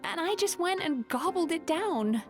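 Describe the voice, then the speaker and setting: A gentle dream-eating spirit girl. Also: very high-pitched, animated, normal speed, soft background music. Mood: apologetic. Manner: soft-spoken, polite and apologetic. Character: gentle young female dream-eating yokai. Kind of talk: video game voice acting.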